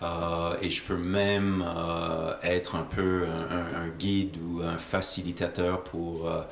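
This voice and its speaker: A man interpreting interview answers in a halting way, often searching for his words. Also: sits low, flat delivery. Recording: echoey room with faint noise.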